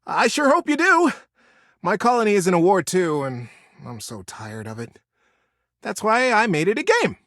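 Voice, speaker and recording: A man voicing an excitable, friendly young male beaver with a high-pitched, yelping voice in a story. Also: normal speed, no room sound, clean studio sound, full character voice. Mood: chatty, open.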